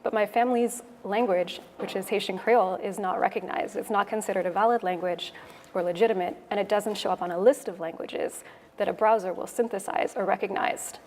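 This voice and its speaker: A woman from Toronto with a Canadian English accent gives a prepared conference talk in a clear, measured, explanatory way. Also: mid-range voice, fairly even intonation, a little mellow. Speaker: conference speaker, web developer. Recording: echoey hall, noisy room ambience.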